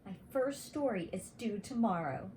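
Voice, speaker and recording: A woman's voice: mid-range voice, lively intonation, normal speed, warm tone, a little hiss or hum, natural voice, rough recording. A woman voicing an earnest, anxious young boy from a children's storybook, eager and unsure of himself. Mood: anxious, hopeful.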